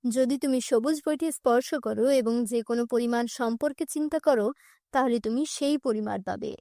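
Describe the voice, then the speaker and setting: A woman voicing a calm, mysterious magic book in a children's fairy-tale cartoon, solemn and reassuring. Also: a little high, normal speed, creaky, dry recording, clean studio sound.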